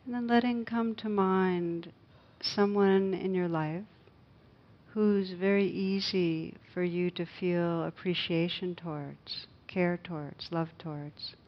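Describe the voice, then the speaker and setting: A woman meditation teacher speaks very slowly and gently, leaving long pauses as she guides a loving-kindness practice. Her voice sits on the low side and is hushed. The recording is a little dull and muffled. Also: steady tone.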